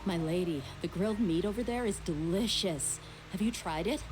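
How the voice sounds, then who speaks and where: A woman voicing a brisk, loyal, informal companion character in a video game. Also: on the low side, over music, lightly acted, low-quality recording. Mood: upbeat, brisk.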